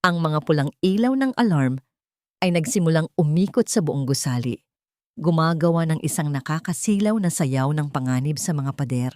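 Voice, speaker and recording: A woman narrating a dramatic story in a steady, dramatic manner. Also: deep voice, normal speed, dry recording, clean studio sound.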